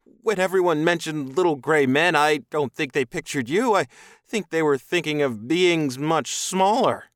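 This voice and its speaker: Man putting on a high, incredulous captive human. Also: expressive, moderate pace, dry recording, clean studio sound, close to an everyday voice. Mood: shocked. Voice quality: high-pitched, squeaky, gasping. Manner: incredulous, vehement. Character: frightened, indignant captive human man with a temper.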